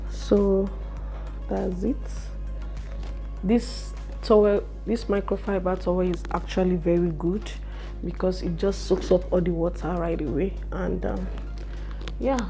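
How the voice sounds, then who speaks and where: A young woman with a slightly hoarse voice, chatting slowly through a hair care vlog in a small room. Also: lowish voice, warm tone. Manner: slow, chatty explaining with fillers. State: a little hoarse from a cold. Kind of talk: casual how-to vlog.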